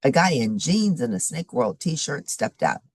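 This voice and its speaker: A woman reading a children's chapter book aloud in an animated, expressive storytelling manner. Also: very deep voice, a little slow, husky, dry recording, clean studio sound. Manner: animated storytelling with varied character voices. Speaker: story reader.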